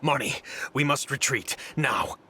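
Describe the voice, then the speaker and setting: An actor playing a stern, dutiful knight in a video game, formal and solemn in delivery. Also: male voice, medium pitch, animated, measured pace, bright, forceful tone, slight background noise. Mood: urgent. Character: grave, dutiful male knight, loyal and stern. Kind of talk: video game cutscene voice acting.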